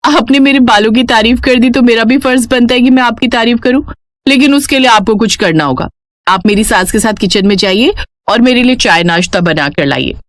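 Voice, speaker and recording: A woman's voice: fairly high pitch, fairly quick, no echo, studio quality, pitched above the performer's own voice, lightly acted. A woman voicing a vain, bossy young bride in a moral storybook tale, haughty as she orders her elders around. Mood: smug. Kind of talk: Hindi moral storybook character voice.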